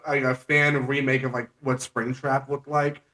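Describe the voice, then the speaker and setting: A man interviewing on a podcast, chatting casually like an eager fan in a halting way. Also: medium pitch, flat delivery, pushed and bright. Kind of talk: casual chat.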